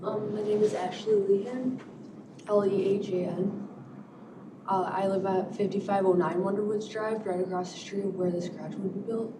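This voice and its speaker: A woman neighbor giving testimony at a hearing, soft-spoken and tentative. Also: medium pitch, fairly even intonation, moderate pace. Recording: echoey room, distant mic, noisy.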